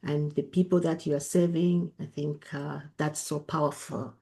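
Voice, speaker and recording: A woman's voice: deep voice, little pitch movement, moderate pace, creaky, warm tone, husky. A woman hosting a podcast interview, with affirming interjections. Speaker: podcast host.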